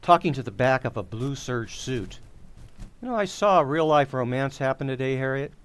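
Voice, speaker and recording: A man's voice: mid-range voice, normal speed, smooth, close to an everyday voice. A man playing a mild, polite, hesitant husband in an old-time radio sitcom. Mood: sheepish, evasive.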